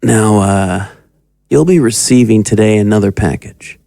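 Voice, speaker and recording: A man's voice: fairly low pitch, unhurried, vocal fry, mellow, no echo, studio quality. An actor playing a domineering, cruel occult magus who talks down to his pupil in an audio drama. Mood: menacing, condescending. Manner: condescending, commanding. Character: sinister, domineering male occult magus, cruel and mocking mentor.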